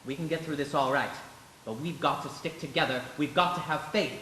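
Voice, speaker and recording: A man playing an earnest, excitable young banker with a stammering, folksy manner in a live radio play. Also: on the high side, a little fast. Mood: urgent pleading. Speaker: stage actor. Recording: echoey stage with faint noise.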